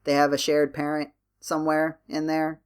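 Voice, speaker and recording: A man's voice: a little high, monotone, normal speed, clean tone. A man hosting a casual podcast discussion, explaining his thoughts in a rambling, hesitant way. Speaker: podcast host.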